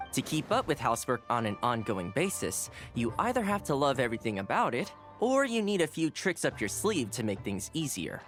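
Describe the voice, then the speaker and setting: An actor playing a polite, earnest young male housekeeper who teaches a class in a video game, speaking clearly and courteously. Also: mid-range voice, fairly bright tone. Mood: encouraging, instructive. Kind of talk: video game voice acting, instructional speech. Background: background music.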